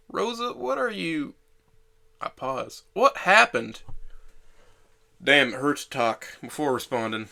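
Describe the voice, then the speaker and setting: A man's voice: mid-range voice, vocal fry, sharp tone. A man playing a bitter, self-loathing young man who narrates his own story in a sardonic, brooding way for an audio drama. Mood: dazed, confused.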